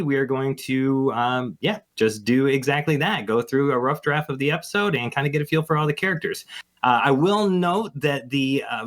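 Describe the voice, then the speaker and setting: Male host, fairly high voice, informal and hesitant, introducing a creative project. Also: a bit bright. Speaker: writer and host.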